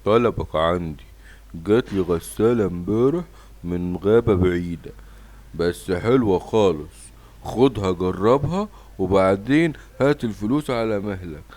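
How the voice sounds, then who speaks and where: A man's voice: fairly low pitch, moderate pace, darkish tone, natural voice. A man voicing a friendly, obliging shopkeeper lion in a cartoon, with an Egyptian Arabic accent. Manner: obliging, easygoing salesman. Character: friendly male lion shopkeeper, helpful dealer.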